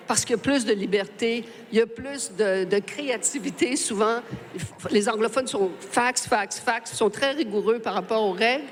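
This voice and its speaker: Journalism teacher, woman, keeps restarting and repeating herself, live panel, reverby hall, bit of background hiss. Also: a little high. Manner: halting, many restarts and repetitions. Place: book fair stage. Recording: reverberant hall with faint noise.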